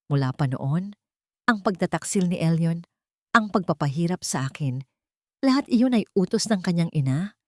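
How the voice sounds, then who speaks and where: A woman's voice: fairly low pitch, lively intonation, moderate pace, darkish tone, dry recording. A woman reading a scripted first-person story in a dramatic narration style.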